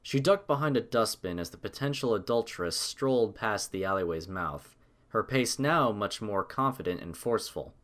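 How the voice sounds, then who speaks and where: A man's voice: on the low side, expressive, normal speed, fairly bright tone. A man narrating a scripted story with a dramatic storytelling manner.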